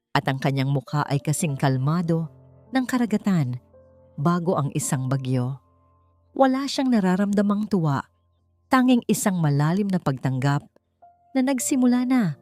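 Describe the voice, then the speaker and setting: A woman's voice: on the low side, a little mellow. A woman narrator reading a scripted, emotional drama story in a storytelling style.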